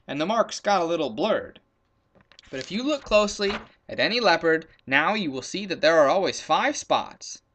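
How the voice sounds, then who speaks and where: A man narrating a children's story as a storyteller. His delivery is extremely lively, with wide swings of pitch from phrase to phrase. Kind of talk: animated children's story reading, scripted narration.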